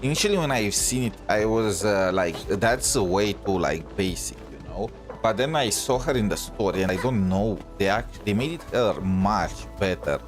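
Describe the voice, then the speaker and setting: A man's voice: fairly low pitch, animated, normal speed. A man chatting casually on a gaming stream, rambling and thinking aloud, often checking for agreement. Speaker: game livestreamer. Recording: music playing behind the voice. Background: music.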